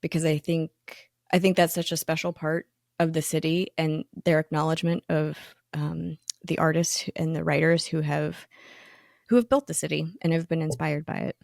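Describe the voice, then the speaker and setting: A woman with a low, slightly gravelly voice talks in an easygoing, enthusiastic way, stopping often for ums and fresh starts. The sound is close and dry. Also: level tone, normal speed, darkish tone, no echo. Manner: chatty and enthusiastic, many ums and restarts. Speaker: magazine editor, co-host. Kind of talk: casual podcast conversation.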